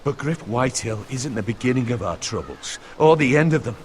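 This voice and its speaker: A man acts as an earnest counsellor in a video game drama. The speech is formal and principled, with lively, wide-ranging pitch and a faint noise behind it. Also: fairly quick, close to an everyday voice. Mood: grave, concerned. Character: earnest, principled male counsellor to a young lord.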